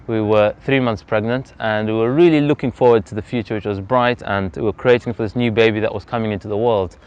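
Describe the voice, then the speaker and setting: A man, telling his personal story as an interview guest outdoors in earnest, reflective, measured speech. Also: lowish voice, steady tone, clear, resonant tone. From Zambia. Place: outdoors on a freezing day. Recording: slightly dull lo-fi outdoor recording.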